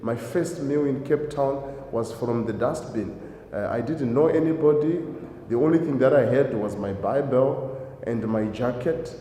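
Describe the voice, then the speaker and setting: A man's voice: mid-range voice, lively intonation, measured pace, mellow. A middle-aged man from Zimbabwe answering interview questions about his life, hesitant, with many fillers and restarts. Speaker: guest, driving school owner. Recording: echoey hall, faint noise.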